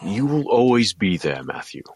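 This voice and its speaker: An actor playing a stately, encouraging alien trainer with a formal, measured manner in an audio drama. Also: male voice, on the low side, very animated, slightly rough, full character voice. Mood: approving.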